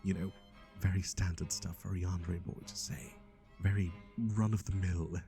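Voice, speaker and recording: A man's voice: low-pitched, level tone, moderate pace, gravelly, music in the background. A man playing a chatty, playful matchmaker in an ASMR roleplay, with a theatrical, teasing, conversational delivery. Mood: wry, teasing. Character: chatty, playful male matchmaker for obsessive yandere boyfriends.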